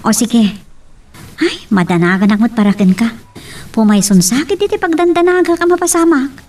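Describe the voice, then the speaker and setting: A woman's voice: fairly high pitch, normal speed, a little mellow, distant mic, natural voice. A woman playing a nosy but caring young friend in an audio drama, probing and concerned. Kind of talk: Ilocano radio-style drama acting.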